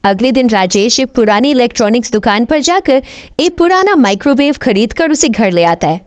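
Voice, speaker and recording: A woman's voice: on the high side, animated, moderate pace, dry recording. A woman giving scripted narration for a horror cartoon story.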